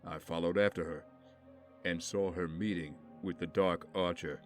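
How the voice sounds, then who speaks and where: A man as a deep-voiced, somber confidant. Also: steady tone, moderate pace, close to an everyday voice. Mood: grave. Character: somber, troubled male confidant of a vigilante. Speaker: voice actor in an audio drama. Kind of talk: dramatic audio drama acting. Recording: faint music behind the voice.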